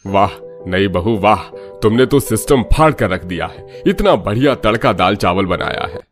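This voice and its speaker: A man's voice: lowish voice, expressive, moderate pace, soft background music, natural voice. A man voicing a demanding, hearty older father-in-law in a cartoon family drama, speaking bluntly. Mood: delighted.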